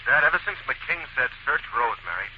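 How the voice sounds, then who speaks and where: Actor as an earnest young detective, old radio. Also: male voice, medium pitch, sing-song, a little fast, natural voice. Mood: musing. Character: Ellery Queen, earnest young male amateur detective, thoughtful. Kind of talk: 1940s radio acting. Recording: muffled, hissy 1940s radio recording.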